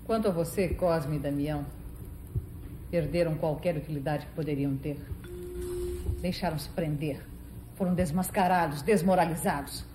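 An actress in her thirties playing a cold, commanding gang-boss villainess in a 1970s telenovela, imperious and deliberate. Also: deep voice, mellow, slight background noise, lightly acted. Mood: cold menace. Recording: echoey old TV studio, music behind.

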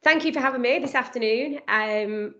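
A woman with a strong Lancashire accent gives an upbeat, quick presentation on a video call, with frequent ums and restarts. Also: on the high side, a bit bright, lo-fi sound. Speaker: guest speaker. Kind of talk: online panel presentation.

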